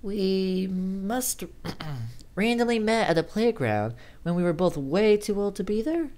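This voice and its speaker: Man voicing a bright, high-pitched friendly woman. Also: very animated, a little slow. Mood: hesitant, puzzled. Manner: bright, casual, hesitant. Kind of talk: horror story character dialogue.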